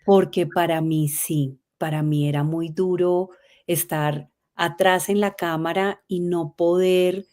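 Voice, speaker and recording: A middle-aged Colombian woman, a guest telling her life story in a lively way, laughing often. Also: on the low side, slow pace. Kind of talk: conversational interview.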